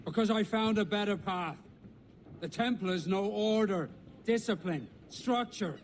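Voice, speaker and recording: An actor playing a smug, haughty turncoat pirate who preaches order in a video game cutscene. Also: male voice, high voice, measured pace, slight background noise. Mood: condescending.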